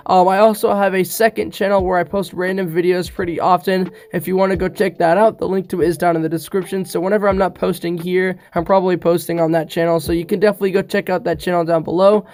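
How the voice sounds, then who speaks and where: A young man talking casually about a mobile shooter game while sick, his voice a bit rough, with lots of fillers and constant "guys". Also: sits high, darkish tone. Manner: chatty, many fillers, keeps saying "guys". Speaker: gaming youtuber. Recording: faint music behind.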